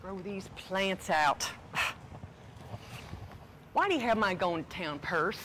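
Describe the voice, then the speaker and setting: A woman's voice: mid-range voice, lively intonation, slow pace, a bit bright, a little hiss or hum. A woman playing a bossy, crabby old elder sister in a comedic stage play, scolding bluntly in folksy phrases.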